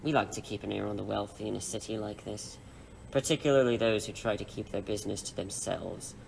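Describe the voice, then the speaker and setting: A man playing a menacing crime boss in an audio drama, with a mockingly polite, formal, unhurried manner. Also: lowish voice, fairly even intonation, creaky, darkish tone, a little hiss or hum, low-quality recording. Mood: cool threat. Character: suave, menacing male crime boss interrogating captives.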